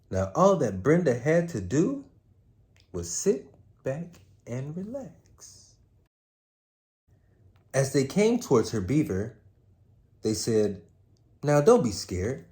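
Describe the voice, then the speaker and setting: A man hosting a story time, with a laid-back, slangy manner, moving between casual chat and expressive read-aloud. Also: medium pitch. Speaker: host reading a story aloud.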